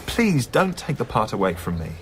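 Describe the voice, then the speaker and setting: A man's voice: medium pitch, big pitch swings, moderate pace, soft background music, close to an everyday voice. Radio comedy role: earnest English comic played by a man, droll, plaintive, very varied intonation. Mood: anxious, pleading. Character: British comedian Graham Chapman, earnest, wry recovering drinker. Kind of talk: radio comedy drama acting and narration.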